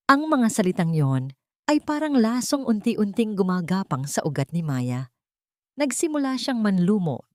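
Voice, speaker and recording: Female storyteller for scripted dramatic audio fiction. Lower register, close dry sound. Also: a little mellow, no echo.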